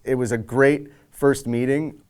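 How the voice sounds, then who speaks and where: A man's voice: medium pitch, steady tone, unhurried, clean tone. A man speaking casually in a making-of interview as an audio director, acting out bits of conversation as he goes.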